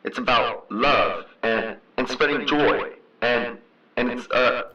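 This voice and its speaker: A man's voice: medium pitch, slow pace, bright, forceful tone, reverberant. A man playing an earnest, floundering young speechmaker through a megaphone in a radio drama, muffled and distant. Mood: earnest, faltering. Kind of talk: school radio drama acting.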